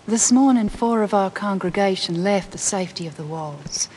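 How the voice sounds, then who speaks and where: Serene, manipulative middle-aged cult matriarch, played by an actress, solemn game cutscene delivery, faint background noise. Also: female voice, medium pitch, moderate pace, a little mellow, lightly acted. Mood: solemn, persuasive. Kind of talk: scripted game cutscene acting.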